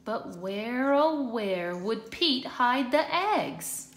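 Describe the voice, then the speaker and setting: A woman reads a picture book to young children. Her voice is fairly high and her delivery is unhurried and expressive. The room around her is noticeably echoey. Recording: echoey hard-floored room.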